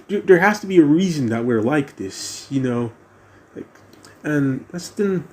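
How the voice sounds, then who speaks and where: A teenage boy vlogging alone, his accent a blend of American and British that settles on neither. He speaks at an ordinary middle pitch and muses aloud, circling back, restarting phrases and leaning on fillers as he thinks. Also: a little mellow. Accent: mixed American and British. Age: teens. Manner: hesitant, many restarts and fillers, musing. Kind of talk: casual rambling monologue.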